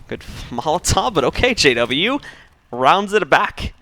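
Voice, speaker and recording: A man giving rapid, analytical play-by-play on a competitive shooter match. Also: a little high, sing-song, pushed and bright, no echo. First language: English. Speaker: esports play-by-play commentator. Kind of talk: esports commentary.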